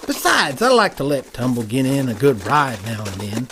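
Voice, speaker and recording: A man's voice: medium pitch, huge pitch swings, normal speed, bright, forceful tone, a little hiss or hum. A man playing a good-natured cowpoke fish sidekick in a Western comedy audio drama, with drawling, aw-shucks folksy talk. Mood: folksy, easygoing.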